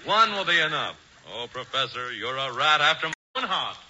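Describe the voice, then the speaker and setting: A man's voice: medium pitch, sing-song, a little fast, loud music behind the voice, close to an everyday voice. A man playing a prissy, haughty young rich schemer in a 1940s radio comedy. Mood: scheming. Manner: prissy, haughty, hesitant uh-filled phrasing. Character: Hubert, snooty, fussy rich young schemer. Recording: muffled, noisy 1940s radio broadcast.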